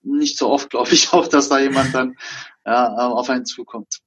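A man's voice: medium pitch, steady tone, normal speed, a bit bright, slight background noise. A man from Berlin in a casual conversation, telling work stories and acting out the dialogue. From Berlin, Germany. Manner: anecdotal, acts out dialogue. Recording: dull lo-fi sound.

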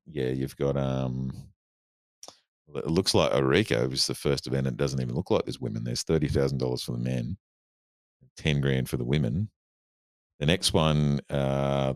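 Very deep-voiced podcast host. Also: male voice, no echo. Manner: easygoing, reads out figures. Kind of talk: casual chat.

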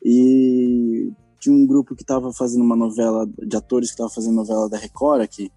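A man's voice: medium pitch, flat delivery, a little fast, mellow, husky, no echo, soft background music. A man, a podcast guest, describing events in a plain, matter-of-fact way. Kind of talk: casual chat.

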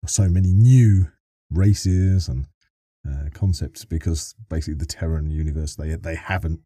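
A man's voice: rumbling low, moderate pace, warm tone, slightly rough, dry recording, clean studio sound. A man co-hosting a podcast in a casual, chatty interview style, wordy and thinking aloud as he goes.

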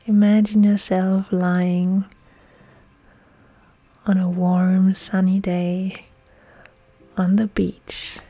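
Very slow, hushed woman guiding relaxation. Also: mid-range voice, little pitch movement. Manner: soft, breathy, soothing delivery with long pauses. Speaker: yoga instructor. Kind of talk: guided relaxation meditation. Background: soft music.

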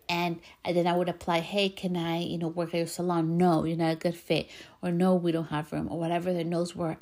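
A woman's voice: on the low side, a little mellow. A woman with a Spanish accent hosting a podcast, giving an earnest, emphatic motivational talk. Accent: Spanish-accented English. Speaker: podcast host. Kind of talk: motivational monologue.

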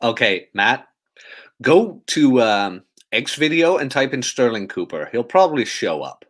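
A man's voice: mid-range voice, sing-song, unhurried, a bit bright. A man hosting a casual podcast chat, easygoing and reading out listener questions. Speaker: podcast host.

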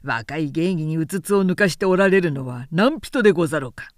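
A woman's voice: sits low, animated, brisk, dry recording, clean studio sound, pitched below the performer's own voice. Proud male samurai officer, acted by a female narrator, formal, cutting and emphatic, period storybook. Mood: cutting, indignant. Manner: stiff, cutting, formal archaic speech.

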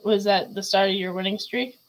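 A woman's voice: mid-range voice, steady tone, fairly quick, poor audio. A chatty woman hosting a casual talk show, laughing often as she asks questions. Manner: giggly, chatty. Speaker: podcast host. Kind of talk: casual chat.

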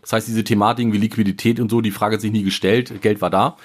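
A man's voice: fairly low pitch, little pitch movement, fast talker. A man, a startup founder telling his story in a podcast interview, rambling with many fillers.

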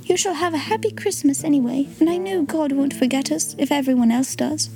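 A woman's voice: high-pitched, brisk, mellow. A woman doing a tender, cheerful poor little girl. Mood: earnest.

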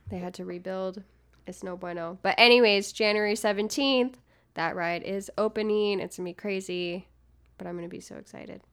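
A woman's voice: mid-range voice, moderate pace, fairly bright tone. A woman co-hosting a podcast in casual chat, sick and tripping over words, chatty and self-mocking. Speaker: podcast co-host.

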